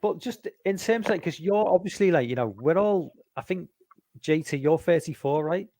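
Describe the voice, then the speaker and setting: A man's voice: on the high side, lively intonation, normal speed, dark tone, no echo. A man in his forties with a Liverpool accent hosting a casual stream, rambling and restarting his words. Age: 40s. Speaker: stream host. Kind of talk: casual chat.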